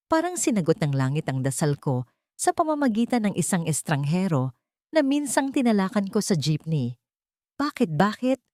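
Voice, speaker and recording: Woman doing emotive audio drama narration, lowish voice, really dry close sound. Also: a little mellow, dry recording. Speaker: narrator of audio love story. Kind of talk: dramatic scripted first-person narration.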